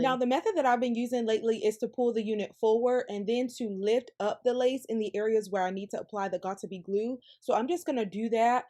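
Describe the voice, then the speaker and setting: A woman's voice: on the high side, a little mellow. A woman narrating a wig tutorial in an upbeat, chatty voice-over, calling out to her viewers. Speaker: hair vlogger.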